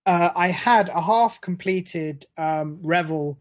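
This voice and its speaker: A man telling comic stories casually on a podcast, in a halting, self-mocking, sweary way. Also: sits high, no room sound, clean studio sound. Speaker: podcast co-host.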